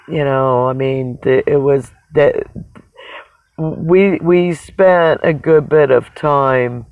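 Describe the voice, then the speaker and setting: A person with a raspy voice talks in a casual, rambling livestream monologue, halting and reflective, often pausing mid-sentence. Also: medium pitch, no echo. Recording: dull, narrow phone-like sound.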